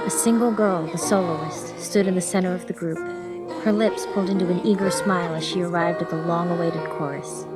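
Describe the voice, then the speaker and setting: A woman's voice: lowish voice, steady tone, brisk. Woman narrating an audiobook over music.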